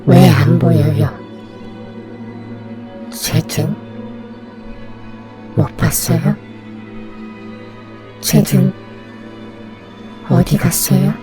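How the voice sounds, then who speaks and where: A man voicing a backless female ghost who asks in a cracked, breathy whisper. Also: fairly high pitch, very slow, pauses often, over music, voice pushed up. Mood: eerie pleading.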